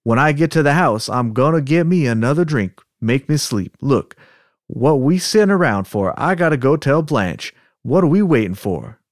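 A shaken, folksy Southern man acted out by a man in an amateur dramatic reading. He is insistent about what he saw, in a medium-pitched voice with a dry sound. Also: big pitch swings, normal speed, no room sound, lightly acted. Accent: put-on Southern US.

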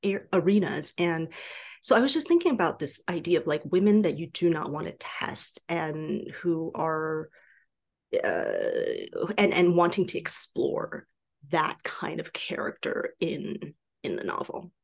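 Low-pitched woman, halting, thinking aloud. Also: wildly swooping pitch, vocal fry. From Taiwan. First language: Mandarin. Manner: halting, thinking aloud, frequent "like" and "you know". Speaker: guest novelist. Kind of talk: conversational podcast interview.

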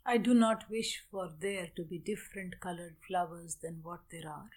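A woman leading a guided meditation as a teacher, giving measured instructions in short phrases with long pauses. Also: lowish voice, darkish tone.

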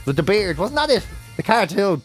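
A man's voice: sits high, sing-song, normal speed, loud music behind the voice. An Irish man co-hosting radio in casual, playful and irreverent comic banter. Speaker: radio host.

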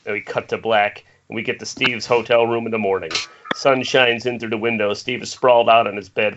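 A man's voice: on the low side, fairly bright tone. A man reading screenplay stage directions as scripted narration over a dull internet call.

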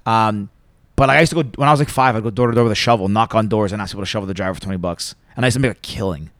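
A man's voice: fairly low pitch, racing, no echo. A man, a guest talking casually on a podcast, full of likes and swearing. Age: 30s. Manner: animated, swears a lot, says like often. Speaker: guest, app co-founder.

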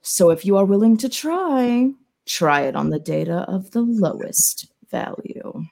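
Menacing cyber extortionist, played by a woman: theatrical, drawn-out threats, pitch leaping for drama. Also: lively intonation, gravelly, dark tone. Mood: menacing, coaxing. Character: menacing, theatrical ransomware extortionist reading a ransom note.

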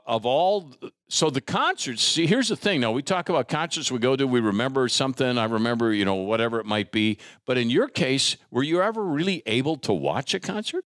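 A man's voice: mid-range voice, fairly bright tone, no room sound, studio quality. An American man hosting a podcast in a casual, conversational way, with folksy asides and rhetorical questions. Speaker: podcast host. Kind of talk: casual interview and conversational sponsor read.

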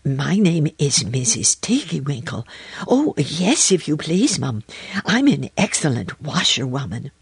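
A woman's voice: deep voice, very animated, unhurried, gravelly, slightly rough, dry recording, heavily acted. A woman voicing a stout, polite, fussy little hedgehog washerwoman in a children's storybook, chatty and deferential. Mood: fussy, eager.

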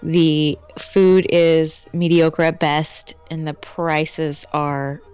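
A female podcast co-host with a somewhat low, smooth and resonant voice. She talks in a playful, teasing, upbeat way. Faint music sits behind her. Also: level tone, drawn-out words, a little mellow. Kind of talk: casual chat.

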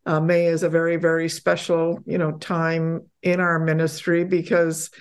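A middle-aged woman from Poland, a podcast guest chatting casually, laughing often and getting animated when excited. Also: low voice, normal speed, smooth. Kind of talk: casual interview chat.

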